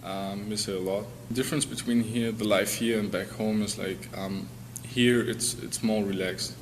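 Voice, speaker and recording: Male student athlete, twenties, German accent, low-leaning, little pitch movement, casual. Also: fairly even intonation, moderate pace, big-room sound, slight background noise. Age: 20s. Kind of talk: casual interview answers.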